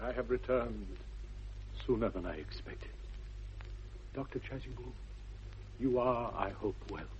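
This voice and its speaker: An actor playing a well-bred English gentleman in a 1960s radio comedy, speaking with formal, measured politeness. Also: medium pitch, slight background noise, lightly acted. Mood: mock mournful. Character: well-bred young English gentleman feigning mournful gravity. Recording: dull lo-fi vintage radio recording.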